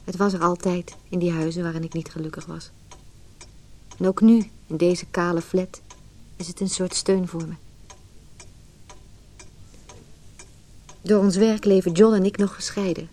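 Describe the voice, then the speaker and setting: Radio play casting: actress for unhappy, determined wife, slightly low and soft-toned, restrained delivery. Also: female voice, fairly even intonation, normal speed, close to an everyday voice. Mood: reflective. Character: resolute, unhappy wife leaving her marriage. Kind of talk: radio drama acting, dialogue and reflective monologue.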